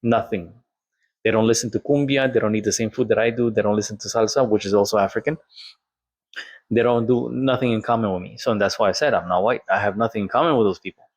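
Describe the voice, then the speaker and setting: Man, lowish voice, candid vlog storytelling, dry close recording. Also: steady tone, fast talker, no room sound. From Colombia. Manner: candid, conversational, quotes others in dialogue. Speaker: vlogger. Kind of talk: personal storytelling monologue.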